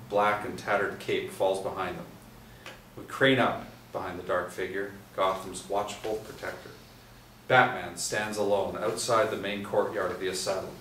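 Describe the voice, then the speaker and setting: A man reading a screenplay's scene directions aloud at a table read, steady and measured. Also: sits low. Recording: echoey room from far off with faint noise.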